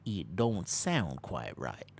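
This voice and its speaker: A rambling American streamer with a slightly low, relaxed voice. He talks casually to chat while playing, drifting from topic to topic and laughing easily. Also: slow pace, gravelly, a little hiss or hum. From United States.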